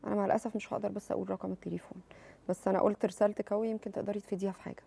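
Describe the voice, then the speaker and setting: A woman in her thirties with an Egyptian accent hosting a TV talk show, reading viewer messages and taking calls briskly. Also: mid-range voice, little pitch movement, vocal fry, warm tone, slightly rough. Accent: Egyptian Arabic. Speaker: TV host. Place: TV studio.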